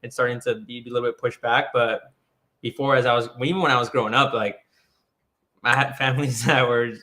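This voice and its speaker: A man from Colombia speaks in a casual discussion, rambling and restarting, with "like" in nearly every sentence. His tone is bright and forward, with an edge of tension. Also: medium pitch, steady tone, a little fast. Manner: loose, rambling, full of "like" and restarts. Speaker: guest.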